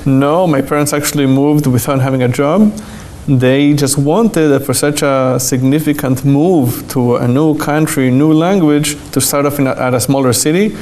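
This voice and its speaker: Calm rabbi with a slight Argentine accent. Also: male voice, fairly high pitch, moderate pace. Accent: slight Argentine Spanish. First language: Spanish. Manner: calm, plain-spoken, with occasional fillers. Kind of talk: conversational interview answers.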